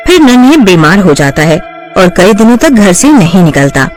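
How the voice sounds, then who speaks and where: A woman narrating a horror cartoon story in a lively, dramatic scripted style. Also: mid-range voice, moderate pace, soft background music.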